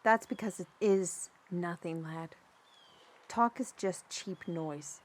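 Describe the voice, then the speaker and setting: A woman's voice: lowish voice, takes it slowly. A woman acting an outspoken, blunt character in an audio drama, speaking formally and earnestly with stern conviction. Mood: dry, musing.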